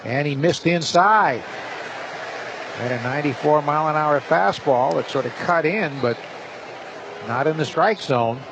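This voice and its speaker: A man works as a baseball play-by-play announcer, calling the game live. His voice sits a little high. Some outdoor ballpark noise runs faintly behind him. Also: a little slow, pauses often. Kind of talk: live sports commentary. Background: outdoor stadium ambience.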